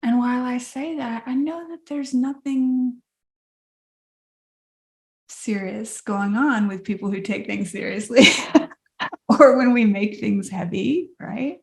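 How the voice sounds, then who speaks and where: A woman co-hosting a podcast, talking in a slow, thoughtful, reflective way and searching for words. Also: fairly high pitch, gravelly, a little mellow. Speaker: podcast co-host and coach. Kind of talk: reflective casual chat.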